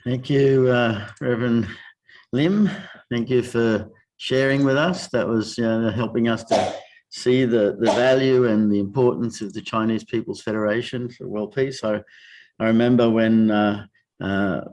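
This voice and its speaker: Guy moderating a meeting, talks real slow, kinda hesitant. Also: medium pitch, fairly even intonation, a little mellow, distant mic, rough recording. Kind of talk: thanking a speaker.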